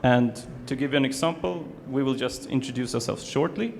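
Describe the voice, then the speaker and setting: A man's voice: mid-range voice, a little fast, vocal fry, a little hiss or hum. A young man from Sweden giving a student presentation, hesitant with many fillers and restarts. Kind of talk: presentation to an audience. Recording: reverberant hall, faint ambience.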